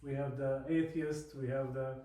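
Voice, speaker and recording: A Palestinian man in his forties with an Arabic accent, a native Arabic speaker, giving an informal personal talk in a halting way with repeated words. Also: medium pitch, flat delivery, warm tone. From Palestine, West Bank. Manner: halting, often repeats words and adds "you know". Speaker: speaker from a peace organisation. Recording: very echoey room, distant mic, faint noise.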